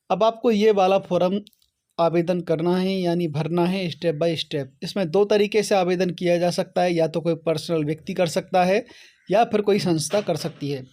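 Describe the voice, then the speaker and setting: A man's voice: high-pitched, darkish tone. A man hosting an online how-to channel, explaining things step by step to viewers and often adding a short check that they follow. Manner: step-by-step, repeatedly checking with "okay". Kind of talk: explanatory tutorial talk to viewers.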